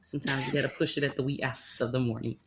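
A young woman hosting a casual medical podcast, chatting in a relaxed way with plenty of slang and fillers, her voice a little raspy from the early morning. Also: very deep voice, very fast, a crowd in the background. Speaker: podcast host. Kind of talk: casual chat.

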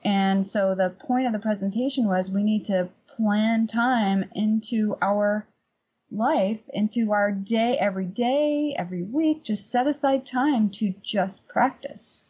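A middle-aged woman chatting casually as an interview guest and painting teacher, telling anecdotes in an animated, emphatic way. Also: mid-range voice, a little slow, husky, lo-fi sound.

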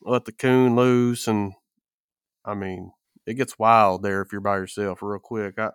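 Smooth-voiced man chatting casually, close and dry. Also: lowish voice, fairly even intonation, unhurried, no room sound. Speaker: podcast co-host. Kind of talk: casual chat, storytelling.

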